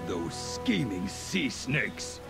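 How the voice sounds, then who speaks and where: A man's voice: medium pitch, very animated, drawn-out words, music in the background, full character voice. A man voicing a blunt, hot-headed gang brawler in a game. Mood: aggressive. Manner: blunt, gruff.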